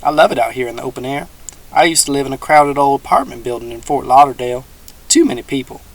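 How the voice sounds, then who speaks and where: Gruff old swamp hermit with a gravelly backwoods drawl and a raised, louder voice, read by a man. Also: mid-range voice, animated, fast talker, low-quality recording. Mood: folksy. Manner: folksy backwoods drawl, growling.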